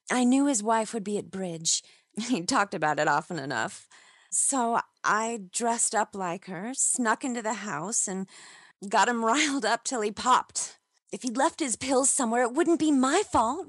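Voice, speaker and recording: A woman's voice: a little high, a little slow, a bit bright, no room sound. An actress playing a seductive, scheming showgirl in a noir radio play, with a coquettish way of talking. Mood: seductive, conniving. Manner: sultry, coquettish.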